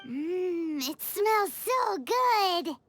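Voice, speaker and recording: Cheerful little fairy sidekick for a game: squeaky, childlike, extremely high and lively. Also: female voice, very slow, slight background noise, exaggerated voice. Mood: savoring food. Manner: perky, refers to self in third person. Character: tiny cheerful floating fairy companion, childlike, food-loving. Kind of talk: video game voice acting.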